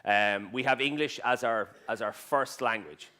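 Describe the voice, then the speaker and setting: A man's voice: medium pitch, a little slow, pushed and bright. A middle-aged Irish man, a restaurateur, giving a conference talk with many fillers and restarts and a dry sense of humour. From Ireland. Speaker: restaurateur and chef speaking at a conference. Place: conference hall stage.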